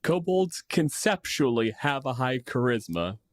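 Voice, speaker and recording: A man's voice: mid-range voice, big pitch swings, measured pace, no echo, clean studio sound. A man co-hosting a podcast in casual discussion of tabletop games, opinionated and freely swearing. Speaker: podcast co-host.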